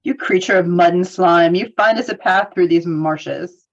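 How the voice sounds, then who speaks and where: A woman's voice: lowish voice, moderate pace, muffled recording. A woman playing a stern, commanding male hobbit in a table read of a fantasy script. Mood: firm. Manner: firm, commanding.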